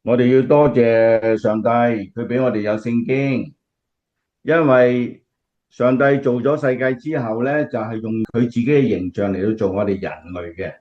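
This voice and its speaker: A man's voice: mid-range voice, moderate pace, natural voice. Man playing an earnest Cantonese preacher, slightly muffled. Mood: earnest preaching. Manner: explanatory, earnest, emphatic. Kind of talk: radio drama acting, sermon-like.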